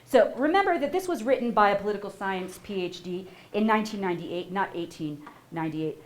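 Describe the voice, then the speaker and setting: A woman giving a university lecture, animated and asking the audience questions as she goes. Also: mid-range voice, brisk. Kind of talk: public lecture. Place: lecture hall.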